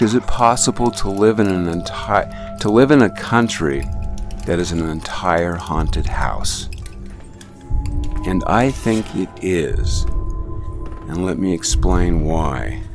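A man with a low, gravelly voice hosts a radio program and talks slowly through reflective stories. He often stops and restarts his phrases as he goes. A clear music bed plays beneath his speech. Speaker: radio show host. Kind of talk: reflective storytelling monologue. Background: music under the voice.

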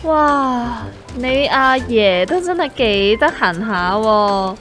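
A woman's voice: on the high side, animated, takes it slowly, fairly bright tone, soft background music. A woman with a Hong Kong Cantonese accent playing a sensible, inquisitive friend in a comedic audio drama, questioning and wry. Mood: surprised, teasing.

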